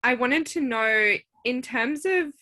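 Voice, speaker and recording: Bright-voiced chatty woman interviewer. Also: high-pitched, takes it slowly. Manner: chatty, many "like"s, eager and encouraging. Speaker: interviewer, show host. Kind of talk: casual interview chat.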